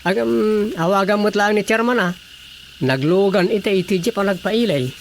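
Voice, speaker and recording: A man's voice: high-pitched, moderate pace. An actor playing a wry, grumbling village councilman who mutters and interjects in a comedy radio drama. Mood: insistent. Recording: clearly noisy radio drama recording.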